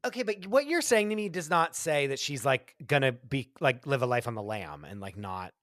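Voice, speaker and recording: A man co-hosting a comedy podcast, talking in an animated, dramatic way with lots of fillers and quick asides. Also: medium pitch, no echo, clean studio sound. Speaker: podcast co-host. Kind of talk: casual comedic chat.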